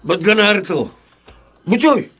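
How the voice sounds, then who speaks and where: A man's voice: sits high, animated, unhurried, heavy background noise. An actor playing a middle-aged, hard-drinking father in a Filipino radio drama, hot-tempered yet doting, colloquial in manner. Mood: angry, boastful.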